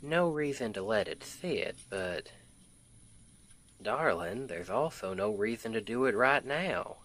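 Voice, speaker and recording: A man performs a doting husband from an ASMR roleplay with a Southern US accent. His voice sits fairly low and moves at a very slow, easy pace. He talks in a folksy, tender way, playful and affectionate toward his partner. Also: expressive, exaggerated voice. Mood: warm, contented. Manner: affectionate, unhurried, folksy, gently teasing. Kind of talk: ASMR roleplay, intimate domestic scene.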